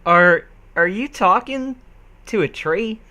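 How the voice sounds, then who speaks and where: A man's voice: high voice, animated, slow pace, bright, forceful tone, voice pushed up, full character voice. A man voicing a confused, complaining female pony in a comic story reading. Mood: confused, whiny.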